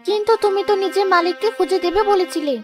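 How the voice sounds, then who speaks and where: A loyal young-sounding wife acted by a woman speaks in a high voice. She is soothing, earnest and supportive, as in a cartoon folk tale, with music underneath. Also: fast. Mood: concerned. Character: supportive, consoling wife of a poor porter. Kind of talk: Bengali cartoon moral tale dialogue. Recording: music behind the voice.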